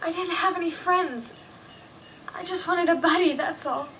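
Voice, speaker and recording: Anxious teen girl in a radio drama, high bright voice, pleading, sorry for herself and others. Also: normal speed, far from the mic, slight background noise. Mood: timid, apologetic. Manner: pleading, hesitant. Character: anxious, remorseful teenage daughter back from wartime America.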